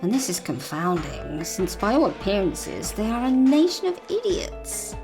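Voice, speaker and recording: A mysterious, secretive woman, voiced by a woman speaks in a cool, knowing, measured manner. Her voice has a gravelly edge and moves animatedly up and down. Soft music plays behind her. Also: medium pitch, big pitch swings.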